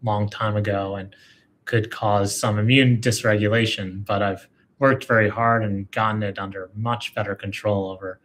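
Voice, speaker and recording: A man, a physician host, talking casually about health, relaxed and hedging with frequent fillers. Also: fairly low pitch, normal speed, a little hiss or hum. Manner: relaxed, hedging with "you know". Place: livestream conversation.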